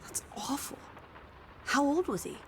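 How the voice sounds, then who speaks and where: A woman's voice: high-pitched, animated, measured pace, noisy background. An actress playing a sociable, cheeky young woman of the 1920s, theatrical and teasing, in a period drama video game. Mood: sympathetic. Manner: playful, teasing, old-fashioned slang.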